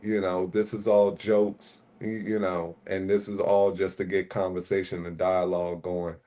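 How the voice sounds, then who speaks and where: A man's voice: sits low, little pitch movement, moderate pace, mellow. A man born in Puerto Rico and raised in Newark, New Jersey, a panel regular talking in casual livestream chat, laid-back with many "you know" fillers.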